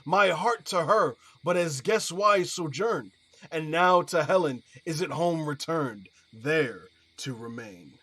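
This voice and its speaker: An actor playing a proud, combative young lover in a Shakespearean audio drama, declaiming verse in short phrases. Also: male voice, fairly high pitch, expressive, takes it slowly, bright, forceful tone, breathy edge, exaggerated voice, rough recording. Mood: proud, dismissive.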